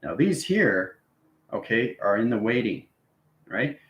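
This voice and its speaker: A man's voice: medium pitch, big pitch swings, a little slow, a bit bright. A man giving an informal spiritual lesson to viewers in fragmented, jargon-heavy phrases, often checking in with short tag words. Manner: esoteric jargon in fragmented phrases with frequent check-ins like "okay" and "right". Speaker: online spiritual teacher. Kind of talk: informal explanatory demonstration to viewers. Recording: roomy, echoing sound.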